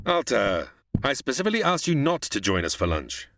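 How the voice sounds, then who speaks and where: An actor playing a cultured, guarded middle-aged scientist in an old-time radio sci-fi drama, speaking formally and theatrically. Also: male voice, mid-range voice, very animated, a little fast, gravelly, a bit bright, dry recording, clean studio sound. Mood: stern.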